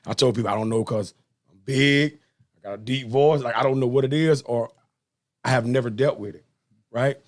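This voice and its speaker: A man's voice: mid-range voice, fairly quick, long pauses. A man hosting a casual chat interview, talking informally and colloquially and often repeating himself. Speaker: podcast host, interviewer.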